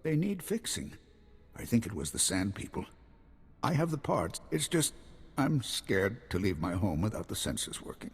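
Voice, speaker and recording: An actor playing an anxious, proud old hermit farmer and former guard in a video game, hesitant and halting. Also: male voice, on the low side, big pitch swings, slightly rough, heavily acted. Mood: ashamed, anxious. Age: older. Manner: hesitant, halting, repeating himself. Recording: long hall reverb, music behind.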